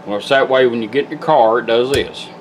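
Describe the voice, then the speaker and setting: A man's voice: mid-range voice, fast talker, a little hiss or hum. A middle-aged man giving an informal, plain-spoken hands-on product demonstration. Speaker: vintage car radio restorer demonstrating a product. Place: indoor workshop bench. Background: guitar music from the radio at times.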